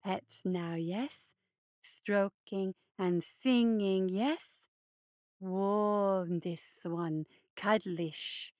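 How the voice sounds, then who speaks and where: A woman's voice: medium pitch, drawn-out words, a little mellow, no room sound, studio quality, pitched below the performer's own voice. A woman voicing a huge, hungry, blood-soaked male hare monster who murmurs in broken grammar. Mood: coaxing.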